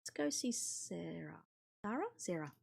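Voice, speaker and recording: A woman's voice: lowish voice, very animated, a little slow, creaky, darkish tone, breathy edge. A woman streaming a visual novel, reading the game text aloud expressively and adding casual, offhand comments. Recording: music playing behind the voice. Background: game music.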